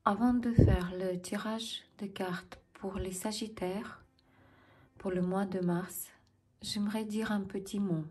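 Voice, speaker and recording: A woman with a Ukrainian accent giving a tarot reading to viewers as host, in deliberate, halting phrases. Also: mid-range voice, steady tone, darkish tone. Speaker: tarot reader and channel host.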